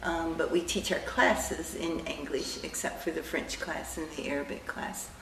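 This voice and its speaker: A woman on a school's staff describing its programs in an interview, informative and steady. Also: deep voice, normal speed, a little hiss or hum. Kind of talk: interview answer. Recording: echoey room, distant mic.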